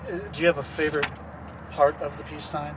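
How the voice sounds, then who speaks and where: A man's voice: a little high, flat delivery, brisk. Guy asking casual interview questions outside, kinda far from the mic, tons of noise. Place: outdoors in a wooded clearing. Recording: distant, very noisy outdoor field recording.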